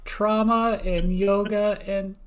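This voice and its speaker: A woman's voice: medium pitch, steady tone, slow. A woman with an American accent from Minnesota, co-hosting a podcast in casual interview chat. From United States, Minnesota. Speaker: podcast co-host.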